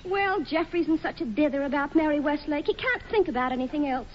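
A woman's voice: high-pitched, expressive, a little fast. An actress in a 1940s radio comedy playing a jealous, earnest young woman who speaks fretfully. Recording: muffled, noisy old radio broadcast.

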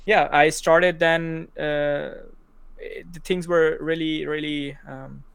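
Young man from Pakistan, guest, halting delivery with fillers, flat intonation, clean dry sound. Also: a little high, vocal fry, clear, resonant tone, dry recording. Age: 20s. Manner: hesitant, many fillers and restarts. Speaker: podcast guest. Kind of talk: casual interview chat.

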